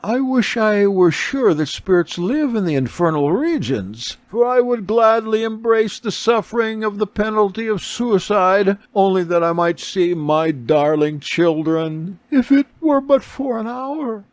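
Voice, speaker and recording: A grieving beggar woman of noble birth, acted out by a male narrator, pitched high with swooping lines. She laments plaintively in an audiobook story, in a very dry recording. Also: lively intonation, measured pace, hardly any pauses, dry recording. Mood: yearning. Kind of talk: audiobook character reading.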